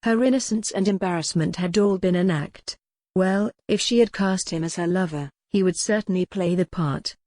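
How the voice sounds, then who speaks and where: Female audiobook narrator, lowish pitch, dry recording, dramatic scripted storytelling for dark period romance. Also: moderate pace, no room sound.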